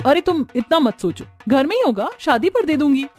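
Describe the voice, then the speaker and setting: A woman's voice: sits high, lively intonation, fairly quick, darkish tone, faint music behind, pitched above the performer's own voice. A woman playing a generous, caring young elder sister-in-law in a cartoon family story. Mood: reassuring.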